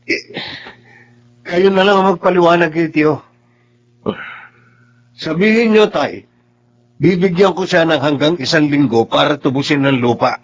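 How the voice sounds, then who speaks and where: An actor with a Filipino accent playing a boastful, hard-nosed newly rich son in a radio drama, with a brash, domineering manner. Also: male voice, fairly high pitch, measured pace, pauses often. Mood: stern, impatient.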